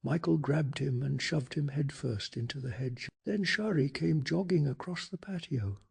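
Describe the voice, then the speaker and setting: Male, very breathy, heavy vocal fry, slightly high pitch, soft ASMR storytelling, deliberate dramatic phrasing. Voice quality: breathy, gravelly, hushed. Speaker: narrator of bedtime stories. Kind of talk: soft-spoken ASMR scripted story narration.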